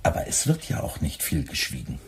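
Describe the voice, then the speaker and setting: A man's voice: brisk, close to an everyday voice. A man playing a weary young radio editor who answers tersely and with dry sarcasm. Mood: tired. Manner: dry, terse, quietly sardonic.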